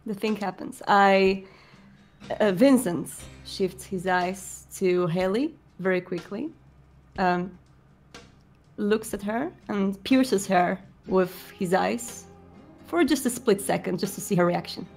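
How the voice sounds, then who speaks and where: A woman's voice: medium pitch, slow pace, soft background music. A woman playing a tabletop roleplaying game, narrating her character's actions.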